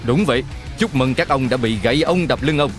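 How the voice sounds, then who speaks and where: An actor playing a formal spaceship announcer who reads out missions in a children's cartoon. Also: male voice, mid-range voice, a little fast, faint music behind, close to an everyday voice. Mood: triumphant.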